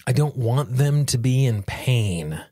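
A man hosting a self-help podcast, coaching listeners directly in measured, deliberate phrases. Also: mid-range voice, expressive, warm tone, no echo, studio quality. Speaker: podcast host and tapping coach.

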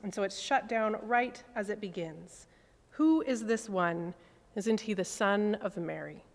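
A woman in her forties, a priest, preaching a sermon at a lectern, measured and reflective, with soft falling phrase endings. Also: medium pitch. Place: cathedral chancel at a lectern. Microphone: stand mic on lectern. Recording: long church reverb.

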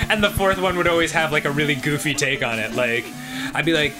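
A man from New Jersey co-hosting a let's-play, spinning rambling, self-mocking stories and breaking into laughter. His voice sits a little high and swings widely in pitch. Loud retro game music plays behind him. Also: lively intonation, moderate pace. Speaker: co-host of a let's-play show. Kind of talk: casual chat while a friend plays a game.

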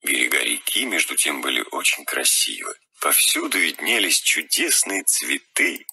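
A man narrating a children's fairy tale in a husky, expressive storytelling voice. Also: very deep voice, moderate pace, no echo.

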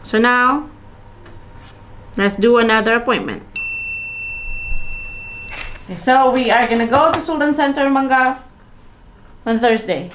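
A woman's voice: on the high side, measured pace, pauses often. A woman in her thirties chatting casually through a vlog and talking through each step aloud. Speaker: vlogger. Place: home office, then car and supermarket.

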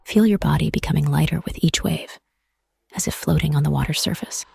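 A woman leads a guided meditation in a very breathy, partly whispered voice. It sits low, with a gravelly fry at the edges. She speaks in soothing, measured phrases with room between them. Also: a little mellow. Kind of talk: guided meditation, soft scripted narration.

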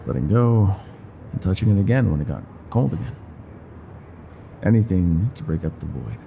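A man playing a world-weary, gruff but secretly tender older ex-mafia bartender in an intimate, confiding audio roleplay. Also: low voice, dark tone, slight background noise, exaggerated voice. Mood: wistful, confiding. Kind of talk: intimate ASMR roleplay monologue.

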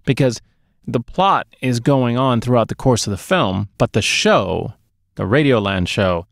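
A man's voice: mid-range voice, lively intonation, a little slow, gravelly, no echo, clean studio sound. A middle-aged man co-hosting a movie podcast, casually discussing a film. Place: small dark studio space, indoors. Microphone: close podcast microphone on boom arm.

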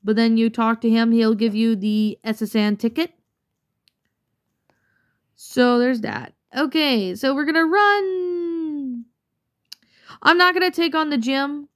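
Chatty woman gamer, a little sniffly. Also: high voice. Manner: chatty, rambling, many fillers. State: slightly congested, sniffly. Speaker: let's play gamer. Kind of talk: casual gameplay commentary.

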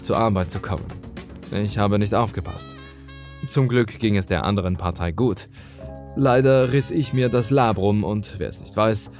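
A man reading a scripted first-person horror story as a dramatic narrator. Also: lowish voice.

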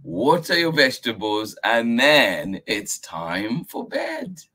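A man's voice: mid-range voice, wildly swooping pitch, slow pace, breathy edge, voice pushed up, heavily acted. A man doing a patient storybook grandfather. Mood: patient coaxing. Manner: patient, repeating himself gently. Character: patient, gentle old grandfather.

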